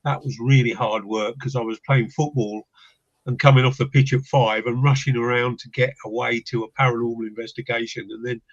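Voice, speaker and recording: A man's voice: mid-range voice, fairly even intonation, brisk. An older man, a paranormal investigator from England, talking as a guest in a casual chat, reflective and hesitant with many restarts. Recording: dull lo-fi line.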